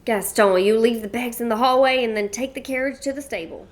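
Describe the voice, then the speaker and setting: A woman's voice: fairly high pitch, fairly quick, lightly acted. A woman voicing a bossy, blunt, middle-aged widow from a cattle ranch, speaking. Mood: bossy, folksy. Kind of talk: radio play acting.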